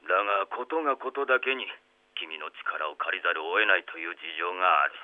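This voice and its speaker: An actor as a stern middle-aged man of authority, low and grave. He speaks in formal, commanding phrases in an anime radio drama, and the sound is dull and muffled. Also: a little fast, close to an everyday voice. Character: stern, authoritative senior professional man confiding secrets. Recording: dull, band-limited sound.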